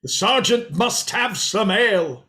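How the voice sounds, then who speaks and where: Radio drama squire: male actor, grand blusterer, animated swoops, bright forceful tone. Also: sits high, a little slow, full character voice. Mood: hearty, excited. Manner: pompous, exclamatory. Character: blustering, hearty country squire, grand and excitable. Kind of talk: radio play reading.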